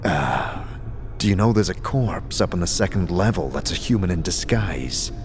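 A man acts out a guarded wanderer hero in an audio drama. He has a deep voice and speaks politely and plainly, with faint music behind him. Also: lively intonation, normal speed. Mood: inquiring.

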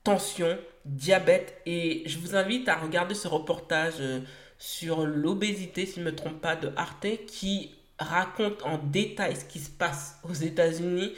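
A woman's voice: on the low side, a little slow, reverberant. A woman from France hosting a solo podcast, speaking earnestly in short emphatic phrases with the odd hesitation. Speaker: podcast host.

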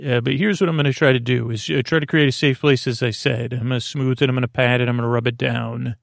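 Male sleep-podcast host: gravelly, creaky and lulling, halting and digressive, recorded dry and close. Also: mid-range voice, fairly even intonation, no room sound. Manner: meandering, halting, trailing into tangents; soothing, gently lulling. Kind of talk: rambling sleep-aid podcast monologue.

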